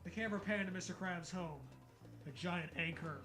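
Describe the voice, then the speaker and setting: A man's voice: sits high, brisk, sharp tone. A man reading a story aloud in a casual, mocking, sweary way as a comedy co-host. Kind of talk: casual comedic read-along with commentary. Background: background music.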